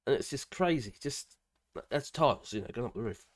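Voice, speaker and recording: An older man with grandchildren, hosting a casual live stream, hoarse from a cold and chatting in a rambling way with many fillers and restarts. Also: medium pitch, animated, fairly quick. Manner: rambling, chatty, with frequent fillers and self-corrections.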